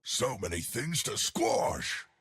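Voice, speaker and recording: An actor playing a giant, cheerful living stone colossus in a video game, good-natured and dim, with a gravelly, husky, booming voice and a hearty, emphatic delivery. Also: male voice, big pitch swings, slow, a bit bright, full character voice. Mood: eager, combative. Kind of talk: video game character voice lines.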